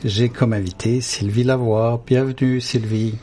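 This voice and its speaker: A male interview host with a warm, mellow voice of middle pitch. He keeps his questions brief and nudges his guest along with short, encouraging replies. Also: steady tone, measured pace.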